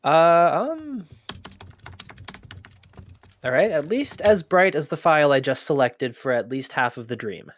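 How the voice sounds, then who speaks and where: An actor playing an inquisitive human archivist in an audio drama, with a conversational, halting, often exasperated manner. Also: mid-range voice, expressive, a little hiss or hum, natural voice. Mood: hesitant, focused. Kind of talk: scripted audio drama acting.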